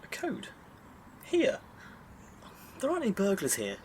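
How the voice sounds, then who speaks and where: An amiable, slightly naive neighbour, chatty and animated, his voice fairly high. It is a naturalistic audio play with faint ambient noise. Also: a little slow, heavily acted. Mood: sceptical. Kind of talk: naturalistic audio drama acting.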